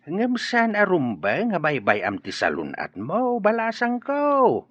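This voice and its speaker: A man playing a caring middle-aged father in a radio drama, speaking gently. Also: sits high, huge pitch swings, takes it slowly, no room sound, heavily acted. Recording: dry muffled studio audio.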